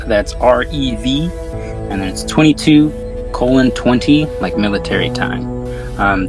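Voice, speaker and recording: Low-voiced man, slangy podcast host. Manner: swears freely, slangy. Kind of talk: casual film discussion podcast.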